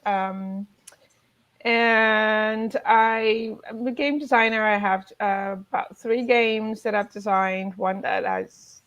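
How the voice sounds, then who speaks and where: A woman, a game designer and educator, chatting on a video-call panel with hesitant pauses and fillers. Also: mid-range voice. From Nigeria. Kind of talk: casual panel chat. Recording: dull lo-fi sound.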